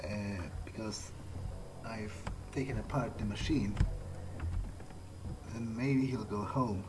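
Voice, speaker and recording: A man thinking aloud in character as he plays a roleplaying game, musing haltingly to himself. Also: on the low side, steady tone, over music, poor audio.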